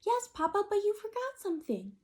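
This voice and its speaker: An excitable little chicken child from a storybook, played by a woman reading aloud. The voice is high and eager, a young one pleading with a parent. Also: fairly quick. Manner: pleading, apologetic to Papa, bursting out excitedly. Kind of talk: picture-book read-aloud character voice.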